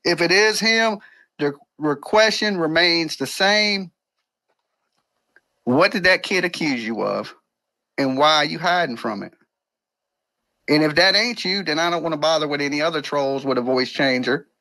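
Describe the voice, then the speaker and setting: A man's voice: on the high side, normal speed, pauses often, pushed and bright, no echo. A man hosting a live call-in stream with blunt, confrontational, colloquial talk. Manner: blunt, colloquial, streetwise phrasing. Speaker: live-stream host taking calls.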